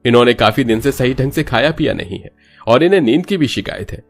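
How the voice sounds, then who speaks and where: A man's voice: mid-range voice, expressive, fast talker, creaky, faint music behind. A man voicing a serious, concerned doctor in a storybook tale, formal and explanatory. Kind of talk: storybook character acting.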